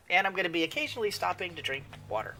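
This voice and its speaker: A man's voice: high-pitched, big pitch swings, quick pace, bright, forceful tone, a little hiss or hum. A man hosting a gaming channel, rambling through casual let's-play commentary with lots of fillers, sniffly from a cold. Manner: rambling with many fillers and restarts.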